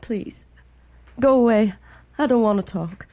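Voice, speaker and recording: An actress playing a proud, sorrowful young Southern wife in a radio drama. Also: female voice, a little high, measured pace, a little mellow, dull audio. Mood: weary, sad.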